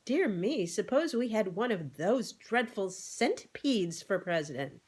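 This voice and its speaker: A woman's voice: mid-range voice, very animated, unhurried, slightly rough. Fussy, fretful lady animal read by a woman. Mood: alarmed. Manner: fussy, dismayed. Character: fretful, fussy female animal, easily alarmed.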